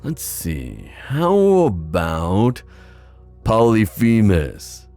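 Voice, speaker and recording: Male actor as protective god of the sea, deep, very animated intonation, halting delivery, music behind, fantasy roleplay. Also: creaky, heavily acted. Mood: gentle, reassuring. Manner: halting, musing, drawn-out delivery with sighs. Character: proud god of the sea, newly tender, protective father. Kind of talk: fantasy ASMR roleplay acting.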